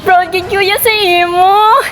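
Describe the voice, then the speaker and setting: A woman's voice: very high voice, steady tone, measured pace. A woman with a Filipino accent acting a proud, crying mother in an amateur radio drama. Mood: tearful pride.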